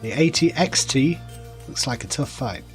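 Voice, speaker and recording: A man with a mild Northern English accent, chatting in a relaxed, musing way while playing a game on a live stream and answering chat. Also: medium pitch, normal speed, faint music behind. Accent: mild Northern English, softened by years in the south. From northern England. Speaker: gaming streamer.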